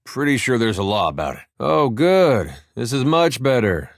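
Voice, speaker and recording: An actor voicing an easygoing, good-hearted farmer turned adventurer in a video game, with a folksy, dryly funny delivery. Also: male voice, lowish voice, unhurried, no echo. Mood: wry, dry. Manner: folksy, laid-back, dry deadpan humor. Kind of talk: video game character dialogue.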